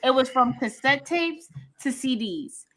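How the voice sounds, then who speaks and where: Bright, animated woman co-host. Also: high voice, measured pace. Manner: animated storyteller, laughs often, acts out quoted friends. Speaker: podcast co-host. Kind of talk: casual chat on a live stream.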